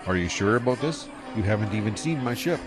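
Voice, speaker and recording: A man's voice: lowish voice, lively intonation, quick pace, music in the background, lightly acted. An actor playing an easygoing young male starship pilot who talks in a casual, laid-back way in an audio drama.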